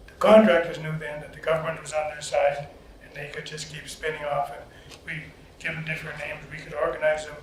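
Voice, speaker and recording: A man hosting a story circle, speaking in a plain, halting way. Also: on the high side, little pitch movement. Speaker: host. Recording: distant echoey room, faint noise.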